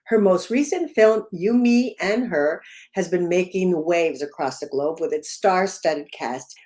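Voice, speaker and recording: A woman's voice: fairly low pitch, measured pace, dark tone. A woman hosting an interview show, introducing her guest and asking questions. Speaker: interviewer and talk show host.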